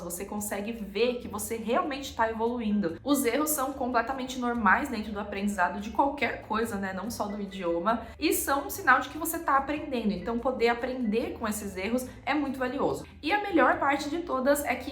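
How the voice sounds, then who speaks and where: A woman's voice: a little high, a bit bright, roomy sound. A Brazilian woman with a Brazilian Portuguese accent, chatting casually to camera as a vlogger and dropping frequent filler tags.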